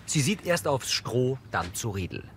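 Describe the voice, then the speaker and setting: A man's voice: medium pitch, sing-song, measured pace, a little hiss or hum. A man reading neutral scripted audio description for a TV drama.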